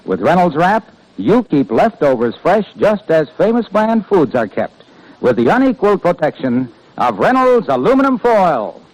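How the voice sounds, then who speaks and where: 1950s radio announcer: American man, salesmanlike and polished, raised pitch, swooping intonation. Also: sing-song, moderate pace, darkish tone, rough recording.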